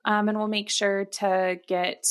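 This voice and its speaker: A woman's voice: mid-range voice, flat delivery, measured pace, smooth. A woman co-hosting a podcast, chatting casually about books in a conversational style. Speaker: podcast co-host. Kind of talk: casual book chat.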